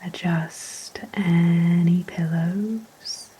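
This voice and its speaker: A woman guiding a sleep hypnosis relaxation in a soft, whispery, gentle and soothing voice, with long unhurried pauses. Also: on the low side, slightly rough, low-quality recording. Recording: steady rain sounds behind voice. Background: rain ambience.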